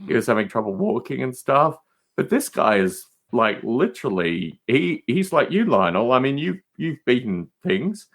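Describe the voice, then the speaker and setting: A man's voice: medium pitch, very animated, normal speed. A man chatting casually as a podcast guest, with an emphatic way of talking. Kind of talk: casual conversation.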